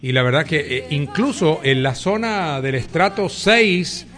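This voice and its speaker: A man's voice: on the high side, animated, measured pace, a bit bright. A man from Colombia hosting a morning radio news show, interviewing a guest in a friendly, conversational way from the studio.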